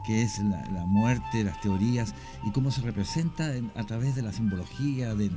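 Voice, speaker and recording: A man, a radio guest explaining his cemetery work at length, often pausing with fillers. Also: fairly low pitch, over music. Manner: explanatory, hesitant with many fillers. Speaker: guest, cemetery tour creator. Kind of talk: radio interview.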